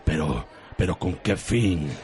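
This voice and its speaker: Man as gullible, overwrought soap hero, swooping pitch. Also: expressive, darkish tone, a little hiss or hum. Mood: relieved, indignant. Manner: halting, melodramatic, repeats himself. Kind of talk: absurd radio soap acting.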